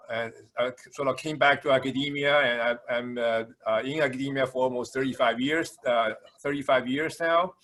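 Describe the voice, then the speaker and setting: Halting older man with a Taiwanese accent. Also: mid-range voice, fairly bright tone. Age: 60s. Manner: halting, frequent hesitations and repetitions. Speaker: university administrator introducing himself. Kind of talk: informal introductory speech. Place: video call.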